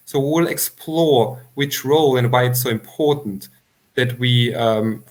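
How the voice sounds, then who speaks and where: A man who speaks English as a second language, hosting an online interview with a halting, slightly unidiomatic way of talking. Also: mid-range voice. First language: not English. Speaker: interview host. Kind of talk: livestream interview introduction.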